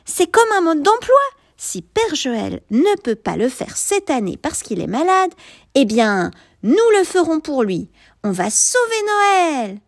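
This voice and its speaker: A woman's voice: high voice, big pitch swings, unhurried, dry recording, clean studio sound, voice pushed up, heavily acted. Woman doing a bubbly little girl. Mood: enthusiastic. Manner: exclamatory, cheerful.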